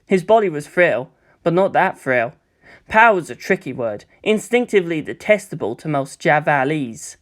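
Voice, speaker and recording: A woman reading a story aloud as an expressive narrator. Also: low voice, moderate pace. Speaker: audiobook narrator.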